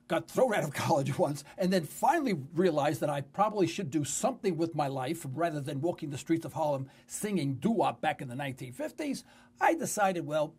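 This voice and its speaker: A man's voice: fairly high pitch, a little mellow, breathy edge. A man in his sixties with a New York accent, hosting talk radio in a conversational, storytelling monologue with emphatic phrasing. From New York City. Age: 60s.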